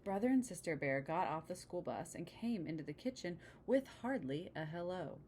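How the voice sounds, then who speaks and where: A woman with a fairly low voice reads a children's storybook aloud. She slips into conversational asides to explain the pictures to young listeners. A faint noise sits behind her voice. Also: animated, moderate pace, darkish tone. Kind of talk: picture-book read-aloud with casual asides.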